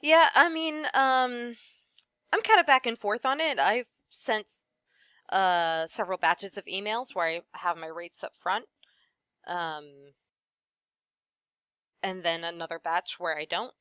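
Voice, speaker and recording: A woman voice actress, a guest in a casual interview, giving thoughtful advice and often trailing off softly at the ends of her points. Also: medium pitch, takes it slowly, long pauses, clear, resonant tone, no room sound, clean studio sound.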